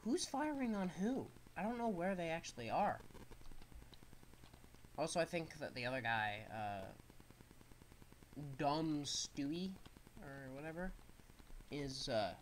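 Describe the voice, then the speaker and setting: A man's voice: a little high, lively intonation, measured pace, pauses often, a little hiss or hum, poor audio. A young man with a stuffed-up nose casually commenting on a game he is playing, explaining the controls as he goes. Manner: rambling, explains controls as he plays. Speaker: gaming streamer.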